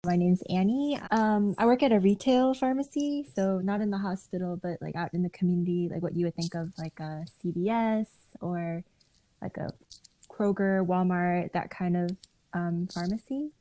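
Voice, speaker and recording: Mellow young woman, chatty, lots of "like". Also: lowish voice, normal speed. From United States. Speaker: retail pharmacist. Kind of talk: casual interview.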